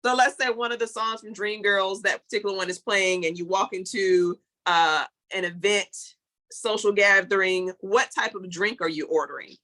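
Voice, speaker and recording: Podcast host: woman, bright forward tone, medium pitch, casual questionnaire delivery. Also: fairly even intonation, moderate pace. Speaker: interview host. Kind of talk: casual questionnaire-style interviewing.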